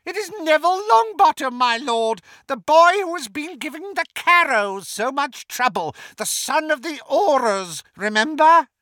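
A man voicing a taunting female dark witch in an audiobook, eager and gleeful. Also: very high-pitched, sing-song, measured pace, hardly any pauses, no room sound, clean studio sound, pitched above the performer's own voice, exaggerated voice.

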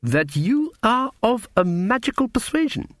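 A woman's voice: fairly high pitch, big pitch swings, a little slow, no echo, studio quality, voice pushed up. A man voicing a proud, knowing middle-aged woman cook with folksy, emphatic dialect for a storybook. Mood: sly, knowing.

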